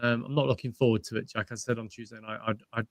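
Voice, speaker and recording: Man, casual football chat, halting delivery, dry close mic, mid pitch. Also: level tone, dry recording. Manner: hesitant, lots of fillers and restarts. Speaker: co-host, fan pundit.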